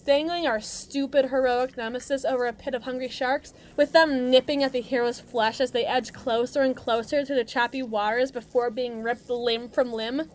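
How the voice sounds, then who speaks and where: A woman's voice: sits high, steady tone, moderate pace, hardly any pauses, a little hiss or hum, natural voice. A woman playing a brash, mean henchwoman at an evil agency in an audio roleplay, with sarcastic, theatrical, slangy tough talk. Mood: gleefully menacing. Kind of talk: acted monologue roleplay addressed to listener.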